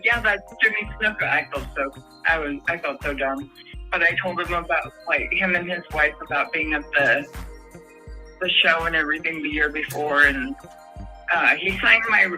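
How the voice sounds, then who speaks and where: A man's voice: a little high, moderate pace, pushed and bright, soft background music, rough recording. A man from Hawaii calling in to a talk show, telling stories in a chatty, giggly way. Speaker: caller. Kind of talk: casual phone-in chat.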